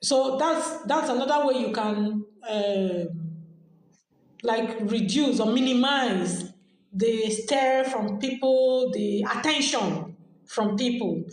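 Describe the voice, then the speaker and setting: A woman's voice: fairly high pitch, slow pace, a little mellow. Nigerian woman in a very echoey room. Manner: chatty, repeats phrases while explaining. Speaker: podcast host. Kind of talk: casual advice chat.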